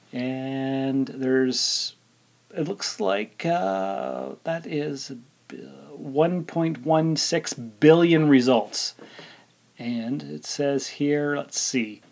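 A man's voice: on the high side, a little mellow. A man in his forties hosting a solo podcast, talking informally and thinking aloud as he rambles along. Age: 40s. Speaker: podcast host. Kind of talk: casual solo podcast monologue.

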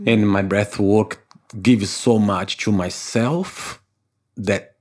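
Man, from Brazil, very low voice, English, earnest, explaining, podcast guest. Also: steady tone, slow, a little mellow. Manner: non-native phrasing, earnest and explanatory. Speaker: podcast guest, breathwork instructor. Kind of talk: conversational interview answers.

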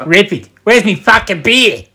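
A man's voice: high-pitched, sing-song, measured pace, pushed and bright. A man in casual banter with friends, blunt, jokey and sweary.

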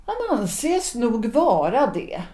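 A woman's voice: on the high side, sing-song, warm tone. An actress playing a composed, coolly ironic wife in a period audio drama, restrained and dryly terse in her replies. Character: Gertrud, composed, coolly ironic wife, former opera singer. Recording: echoey room.

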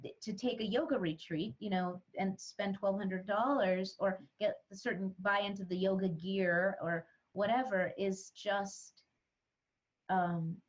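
A woman's voice: medium pitch, fairly even intonation, a little slow, dull audio. A middle-aged woman, a podcast guest, telling her story in an earnest, frank way. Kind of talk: candid interview talk.

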